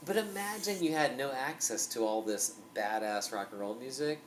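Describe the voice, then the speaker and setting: A young man hosting a podcast in a small basement room, talking in an animated, rambling way with lots of fillers and swearing, picked up by a camera mic across a table. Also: mid-range voice, normal speed, a little hiss or hum. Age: young adult. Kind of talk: casual chat.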